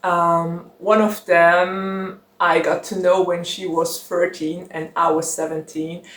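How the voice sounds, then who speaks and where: Slow, chatty young woman. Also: lowish voice, level tone, a bit bright. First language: German. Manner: chatty, giggly, rambling with many fillers. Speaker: book channel host. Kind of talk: casual chat to camera. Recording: roomy echo.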